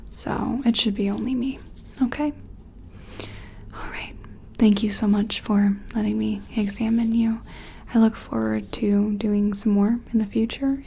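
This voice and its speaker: Woman acting as gentle lady scientist, soft asmr roleplay, breathy and soothing, bit of fry, faint noise. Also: a little high. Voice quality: soft, breathy, often whispery. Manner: soothing, tentative, apologetic, hesitant pauses. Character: gentle, curious woman scientist examining an alien.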